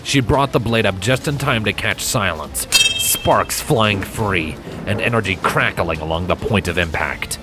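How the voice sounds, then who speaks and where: A man reading a dramatic fantasy story as a scripted narrator, measured and deliberate. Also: lowish voice, lively intonation, loud music behind the voice, low-quality recording. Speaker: fan fiction narrator.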